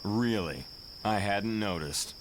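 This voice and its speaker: A man's voice: lowish voice, takes it slowly, background noise. A man playing an earnest young mutant team leader in a motion comic, chatty and musing. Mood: dry. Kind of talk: motion comic character acting.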